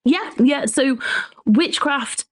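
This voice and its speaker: A woman's voice: high-pitched, expressive, slow, no echo. Englishwoman chatting in a dry, close recording. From Shropshire, England. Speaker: guest, folklore writer and researcher. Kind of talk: conversational interview, storytelling.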